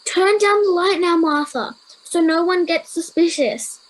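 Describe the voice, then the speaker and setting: A woman's voice: very high voice, a little slow, poor audio. A girl of ten or eleven playing a sensible, caring boarding-school pupil in an amateur radio play. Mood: practical. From Australia. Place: at home over a video call.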